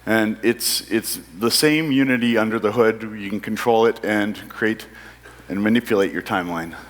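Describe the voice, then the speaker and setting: A man's voice: fairly low pitch, moderate pace, fairly bright tone. A man giving a product presentation at a conference as a product manager. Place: large indoor conference hall. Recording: echoey hall with long reverb.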